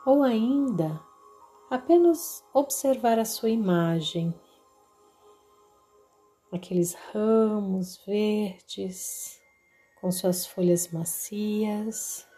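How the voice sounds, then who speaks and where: A woman with a Brazilian accent narrating a guided meditation in a soothing, deliberate way, leaving long pauses. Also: medium pitch, warm tone. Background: background music.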